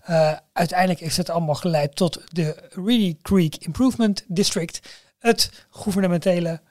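A man's voice: high-pitched, normal speed, a little mellow, no room sound, studio quality. A man, co-hosting a casual podcast. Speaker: podcast co-host.

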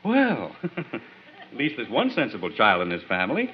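A man's voice: on the low side, huge pitch swings, moderate pace, slightly rough, exaggerated voice. An actor playing an exasperated, pompous suburban American father in a 1940s radio comedy, speaking in formal, blustering phrases. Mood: dry, formal. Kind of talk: 1940s radio sitcom acting. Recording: muffled, noisy 1940s radio recording.

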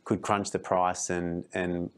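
A man's voice: low voice, level tone, slow, clean tone. A man hosting a podcast, asking long, looping interview questions. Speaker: podcast host.

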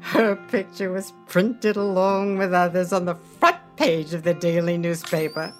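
A woman's voice: lowish voice, steady tone, unhurried, faint music behind, lightly acted. An actress playing a wistful woman narrating her memories in a radio drama. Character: woman reminiscing wistfully about a frightening radio night.

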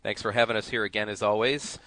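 A man with a somewhat low voice hosts a radio interview. He introduces guests and keeps his remarks short and affirming. The recording is dry and close. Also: steady tone, fast, sharp tone, dry recording, low-quality recording. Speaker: radio host. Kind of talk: casual interview.

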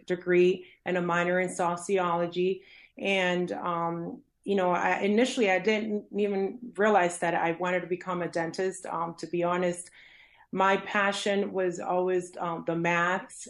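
A woman born in Colombia, a dentist guest, answering interview questions in a friendly way with many fillers and restarts. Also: fairly low pitch. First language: Spanish.

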